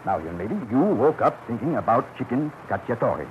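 An actor playing an excitable, kindly butcher who fusses like a judge and matchmaker in a classic radio drama. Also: male voice, mid-range voice, fairly quick, close to an everyday voice. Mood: fussy refereeing. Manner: animated, fussy, mock-judicial. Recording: muffled noisy vintage radio recording.

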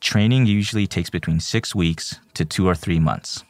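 A man reading scripted documentary narration as a storyteller, in a measured manner. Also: low voice, dry recording. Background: soft background music.

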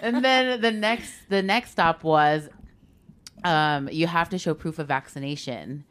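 A young woman from California with a Californian accent, telling stories in a casual, animated podcast chat full of "like". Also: sits low, a little slow. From Southern California. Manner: animated storyteller, lots of "like", laughing. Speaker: podcast co-host.

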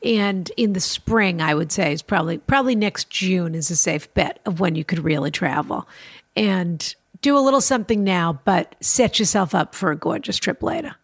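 A woman's voice: on the low side, moderate pace, dry recording. A woman co-hosting a chat show, giving enthusiastic, emphatic advice.

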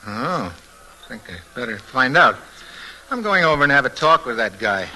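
An actor playing a wisecracking, easygoing young husband in 1940s radio mystery-comedy style, with a breezy, joking delivery on a noisy vintage broadcast recording. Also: male voice, mid-range voice, sing-song, normal speed, pushed and bright. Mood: indignant, wry.

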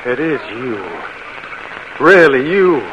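A man playing a young husband come home, tender and hushed, in 1940s radio acting. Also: fairly high pitch, expressive, slow pace. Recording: noisy, muffled 1940s radio broadcast.

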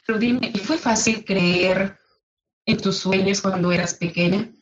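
A woman's voice: mid-range voice, a little slow. Effusive woman podcast host, lo-fi. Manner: warm, effusive, emphatic praise. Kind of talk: podcast interview, scripted intro.

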